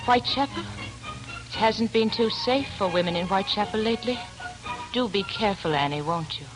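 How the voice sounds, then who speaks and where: An actress playing a spirited, kindly young showgirl with crisp, polite delivery in 1940s radio drama style. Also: medium pitch, expressive, normal speed, natural voice, poor audio. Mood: friendly, concerned. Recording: old 1940s radio broadcast with music.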